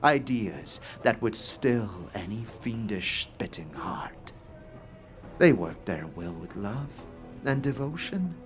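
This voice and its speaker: A man's voice: fairly low pitch, sing-song, slow, soft background music. A man playing a solemn, reverent artisan in a fantasy audio drama, speaking in lofty, poetic phrases.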